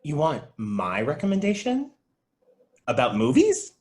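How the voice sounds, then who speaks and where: A man's voice: a little high, huge pitch swings, a little slow, darkish tone. A man playing an earnest, dutiful video store clerk and movie buff in a comedy script read.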